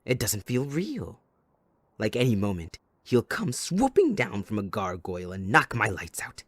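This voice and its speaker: Wildly swooping, gleefully unhinged supervillain. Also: male voice, lowish voice, huge pitch swings, a little slow, dry recording, heavily acted. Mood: mock-mournful, taunting. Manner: theatrical, taunting, mock-sweet, swinging between hushed menace and outbursts. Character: sadistic, theatrical male supervillain kidnapper, possessive and gleefully unhinged. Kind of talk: dramatic audio roleplay monologue.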